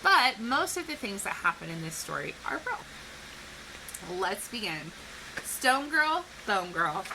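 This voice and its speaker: A woman speaking like a teacher to young children, explaining things clearly and posing questions in an educational read-aloud. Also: medium pitch, sing-song, measured pace, noisy background. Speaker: teacher reading a picture book to children.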